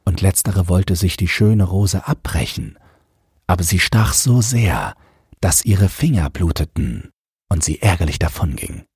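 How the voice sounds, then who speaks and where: A man's voice: low voice, animated, unhurried, a little mellow, breathy edge, dry recording. A man narrating a scripted fairy tale as an audiobook storyteller, close to the microphone. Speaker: narrator, podcast host.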